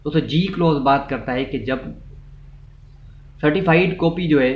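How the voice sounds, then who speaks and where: A Pakistani man lectures informally in a fairly high voice, recorded in an echoey, slightly muffled space. He explains step by step, restating each point and switching into English for legal terms. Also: unhurried. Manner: repeats and rephrases points. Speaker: law lecturer. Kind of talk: informal explanatory law lesson. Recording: echoey room, muffled, faint noise.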